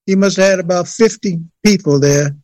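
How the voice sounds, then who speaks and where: An older man with a somewhat high voice recalls his early days in a relaxed, halting way, full of "uh"s and restarts. The sound is close and dry, with no room around it. He takes his time, a singer chatting about how he got started. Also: no room sound. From USA, New Jersey. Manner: hesitant, many fillers and restarts. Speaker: guest singer. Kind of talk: relaxed interview storytelling.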